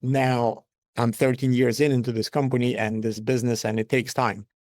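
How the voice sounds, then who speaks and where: A man, a company founder giving measured, matter-of-fact answers as an interview guest. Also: medium pitch, level tone, darkish tone, no echo, studio quality. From Lithuania.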